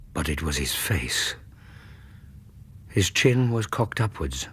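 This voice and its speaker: Husky, low British Victorian gentleman storyteller. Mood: grave, horrified. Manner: measured, literary, suspenseful narration. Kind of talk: radio drama storytelling.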